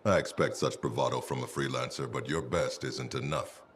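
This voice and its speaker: An actor playing a stern, proud veteran commander in a video game, speaking in a curt, formal, commanding way. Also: male voice, normal speed, a little hiss or hum. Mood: stern, measured. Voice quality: very deep. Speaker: military commander. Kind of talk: scripted video game dialogue.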